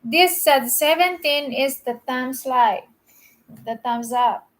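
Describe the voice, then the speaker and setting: A woman with a high, light voice hosts a casual live stream. She talks in a chatty, easygoing way, greeting each viewer by name. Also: slow pace. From Philippines.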